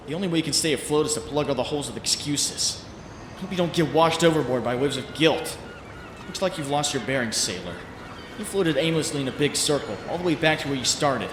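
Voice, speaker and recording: A man's voice: mid-range voice, lightly acted. A man playing a young male superhero in a comic book dub, anguished and frantic, with a brooding, self-mocking monologue that bursts into urgency. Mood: bitter, brooding. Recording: roomy reverberant voice, faint noise.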